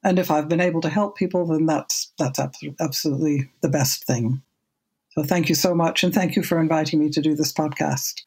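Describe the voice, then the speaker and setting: A woman in her seventies with a low speaking voice, chatting as a podcast guest in a measured, thoughtful way, often repeating a word as she restarts. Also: fairly even intonation, darkish tone, slightly rough. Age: 70s. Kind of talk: casual podcast interview.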